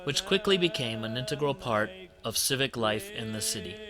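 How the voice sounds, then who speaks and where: A man reading scripted documentary narration in a measured, even way. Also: medium pitch. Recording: music bed under the voice.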